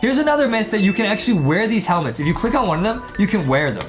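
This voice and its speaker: A young American man hosting casual, excited gameplay commentary, slangy and often repeating himself for young viewers. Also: high voice, rapid-fire. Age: young adult. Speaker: gaming show host. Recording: music behind the voice.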